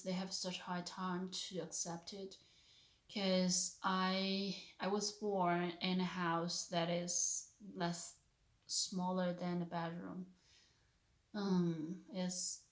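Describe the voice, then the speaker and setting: A woman in her thirties from China, speaking English with a Chinese accent as a non-native speaker, giving an earnest personal faith testimony in a halting way, searching for words. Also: fairly low pitch, reverberant. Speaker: vlogger, stay-at-home mother.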